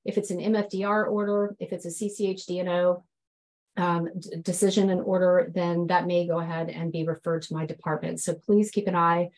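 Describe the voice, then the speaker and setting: A woman giving a businesslike slide presentation on enforcement figures, a little hoarse from a head cold, with frequent ums. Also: lowish voice, darkish tone, poor audio.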